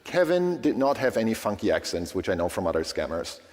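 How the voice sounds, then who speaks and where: Man, German native speaker, conference talk, lively swooping pitch, long hall reverb. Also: a little fast. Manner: lively, conversational, frequent "right?" checks with audience.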